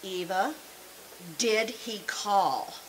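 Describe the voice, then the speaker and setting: A woman acting in a radio drama as a kindly, excitable former gold-rush saloon girl, with a folksy, gushing manner. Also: medium pitch, extremely slow, slight background noise, low-quality recording. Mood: gentle, knowing.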